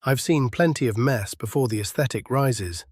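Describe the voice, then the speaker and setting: A man's voice: mid-range voice, moderate pace, darkish tone, slightly rough, no room sound, lightly acted. A male actor plays a haughty Victorian aristocrat in a radio-play style. He is wry and florid, with a mid-range voice. The sound is close and dry. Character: imperious, droll male Victorian lord, patron of artists. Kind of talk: audio drama acting.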